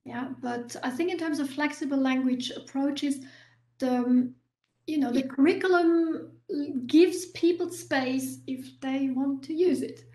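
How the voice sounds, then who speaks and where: A woman academic answers audience questions in a slightly higher voice. She explains patiently and restarts her phrases with many small fillers. The room around her is echoey. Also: a little slow, darkish tone. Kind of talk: university talk Q&A.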